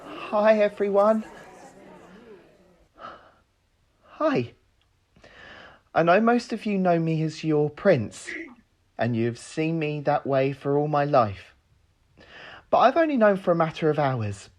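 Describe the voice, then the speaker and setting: A man's voice: on the high side, animated, a little slow, pauses often. A young man playing a nervous, self-deprecating young prince who speaks earnestly to rally his people in an audio drama. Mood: nervous, flustered.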